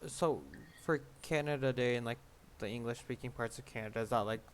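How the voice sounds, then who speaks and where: A man's voice: medium pitch, a little fast. A man chatting casually, asking tentative questions that tend to trail off. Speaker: study group participant asking questions. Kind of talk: casual chat. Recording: faint background noise and clicks.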